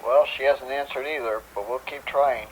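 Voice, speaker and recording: An official, sympathetic policeman on speakerphone in an audio drama. His voice is mid-pitched and measured, with faint noise behind it. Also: steady tone, lo-fi sound. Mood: official, regretful. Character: matter-of-fact male police officer phoning with bad news.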